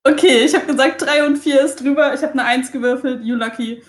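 A woman's voice: sits high, fairly even intonation, a little fast. A woman playing a tabletop role-playing game, chatting casually as she describes her character's moves and slipping into English phrases. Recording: echoey, roomy sound.

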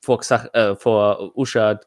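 A man running a tabletop role-playing game, improvising narration and casual rulings in a halting, hesitant way. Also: on the low side, fairly even intonation, a little mellow, no room sound, studio quality. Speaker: game master.

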